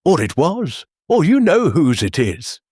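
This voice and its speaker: A man voicing a shrewd, gentle older lady amateur sleuth in a mystery audiobook, measured and quietly probing. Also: fairly high pitch, wildly swooping pitch, husky, no room sound, studio quality, voice pushed up, exaggerated voice.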